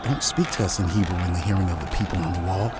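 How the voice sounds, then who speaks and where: Audio drama role: male actor as earnest palace official, low voice, pleading and deferential, noisy outdoor ambience. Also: quick pace, lightly acted. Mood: anxious pleading. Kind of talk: dramatized audio scripture acting.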